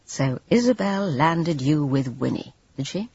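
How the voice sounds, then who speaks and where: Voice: medium pitch, lively intonation, a little slow, vocal fry, a little mellow, husky, no room sound, full character voice. A reader doing a proud, furious painter. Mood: wry. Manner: bitter, impatient, sweeping. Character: proud, touchy male painter, quick to furious anger. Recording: dull lo-fi dry recording.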